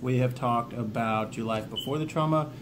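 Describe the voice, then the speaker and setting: A man's voice: mid-range voice, normal speed, background noise. A man giving informal, encouraging instructions to viewers, partly from notes, in a plainspoken way. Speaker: veteran hosting a self-help video series. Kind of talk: instructional talk to camera, reading from notes.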